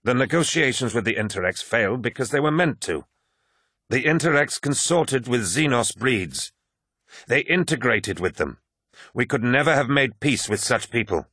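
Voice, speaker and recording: A stern senior warrior, voiced by a male reader, argues his case in an audiobook. His phrases are measured and emphatic, pitched somewhat up, with no room sound. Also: expressive, bright, forceful tone, husky, no room sound, clean studio sound. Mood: firm. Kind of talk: dramatic audiobook character voice.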